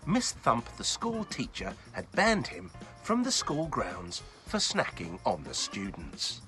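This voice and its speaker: A man hosting and reading a children's bedtime story in a playful, expressive, child-directed way. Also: mid-range voice, slow pace, faint music behind. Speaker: children's story reader and host.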